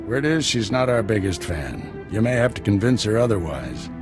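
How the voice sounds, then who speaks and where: A man playing a seasoned, middle-aged Jedi master in a video game, mentoring his apprentice in a measured, plainspoken way. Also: fairly low pitch, big pitch swings, music in the background. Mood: calm, frank.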